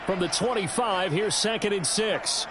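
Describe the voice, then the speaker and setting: A man as a slightly high-voiced play-by-play announcer. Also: heavy background noise, natural voice. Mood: calling plays. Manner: brisk, measured broadcast delivery. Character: male play-by-play announcer, composed and professional. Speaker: play-by-play commentator. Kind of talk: video game sports commentary. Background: music.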